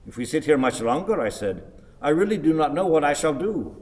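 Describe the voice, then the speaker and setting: An actor playing a grumpy, long-winded old husband in a radio play, with ponderous, pedantic, theatrical delivery. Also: male voice, a little high, very animated, fairly quick, a little mellow, big-room sound. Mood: brooding recollection. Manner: declamatory, ponderous, wordy.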